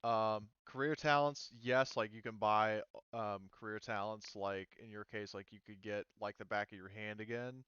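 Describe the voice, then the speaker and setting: Man, low pitch, smooth clear tone, casual game master, halting explanations, dry lo-fi sound. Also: fairly even intonation, a bit bright, dry recording.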